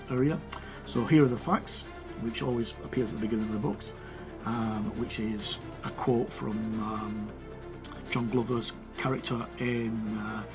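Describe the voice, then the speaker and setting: A man giving a casual, informal review as he leafs through a book, halting as he goes and muttering the odd aside. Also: medium pitch. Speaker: tabletop game book reviewer. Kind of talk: casual flip-through review with read-out passages.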